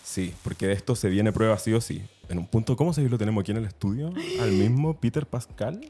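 A man's voice: on the low side, lively intonation, a little fast, dark tone, breathy edge. A man with a Chilean accent co-hosting a podcast in a chatty, slangy, enthusiastic way. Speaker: podcast co-host. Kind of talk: casual podcast chat.

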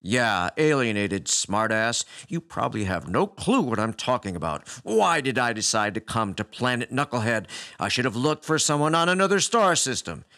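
A man voicing a weary, touchy male space alien who talks like a growling tough guy, deep and gravelly. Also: very animated, moderate pace, bright, forceful tone. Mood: scornful.

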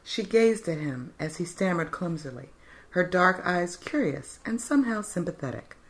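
A woman narrates a fiction story in a scripted, dramatic style. Her voice is notably low for a woman. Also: big pitch swings, moderate pace.

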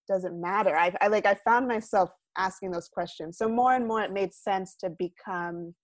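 A young American woman with a lowish voice speaks as an interview guest, thoughtful and searching. She pauses, restarts and lets her sentences trail off softly, recorded dry with no room sound. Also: no echo. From United States. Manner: thoughtful, many fillers and restarts, trailing off quietly. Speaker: guest artist. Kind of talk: reflective interview talk.

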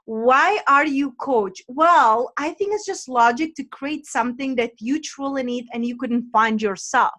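A woman with a Czech accent talks as a podcast guest, animated and chatty, tossing in fillers as she goes. Her voice sits a little high for a woman. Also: a little slow, hardly any pauses. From Czech Republic. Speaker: podcast guest, business and mindset coach. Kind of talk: casual interview talk.

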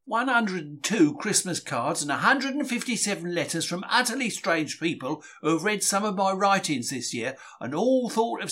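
A man's voice: a little high, a bit bright. A man reading a diary as a chatty, self-deprecating 1940s market bookseller, wry and plain-spoken. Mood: grumbling, amused. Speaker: reader.

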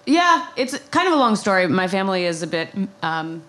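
A woman's voice: medium pitch, big pitch swings, brisk, sharp tone. An American woman telling personal stories in a casual, conversational way as a podcast guest. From New York and New Jersey, United States. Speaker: podcast guest, travel writer.

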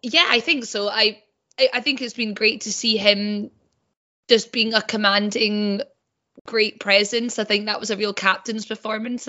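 A woman's voice: mid-range voice, bright, forceful tone. A woman chatting enthusiastically as a football podcast panelist. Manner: enthusiastic fan. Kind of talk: casual football podcast chat. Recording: dull lo-fi podcast audio.

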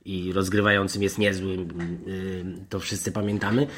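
A man's voice: low-pitched, level tone, fairly bright tone, slight background noise. Rambling male football pundit. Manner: halting, rambling, digressive with self-corrections. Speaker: sports journalist and podcast panellist. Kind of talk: animated football discussion.

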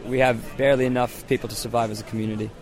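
A man answering interview questions in a thoughtful way, pausing now and then with small hesitations, at an outdoor cafe. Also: mid-range voice, little pitch movement, crowd noise.

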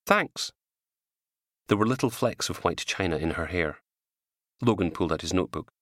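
A man reading his own crime novel as an audiobook, in an animated scripted narration. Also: low-pitched, fairly quick, no echo, clean studio sound.

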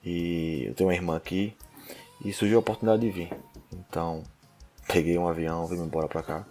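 Casual co-host, young man from northeast Brazil, low voice, hesitant, faint music playing under his talk. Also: steady tone, a little fast, darkish tone. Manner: hesitant, with restarts and light laughs. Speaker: podcast co-host. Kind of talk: casual podcast chat.

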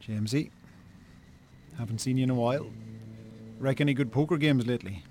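Guy playing sensible irish brother in a radio play, medium pitch, matter of fact, bit reproachful. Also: brisk, darkish tone, a little hiss or hum, close to an everyday voice. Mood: cold, reproachful. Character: reproachful, level-headed Irish brother, adult man. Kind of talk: naturalistic radio drama acting.

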